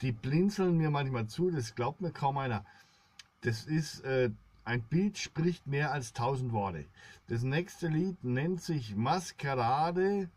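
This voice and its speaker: A man's voice: medium pitch, animated, takes it slowly, a little mellow, no echo. A middle-aged man rambling casually to his viewers in a colloquial, disjointed monologue. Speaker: vlogger presenting music. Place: small dim room, indoors, seated at a table.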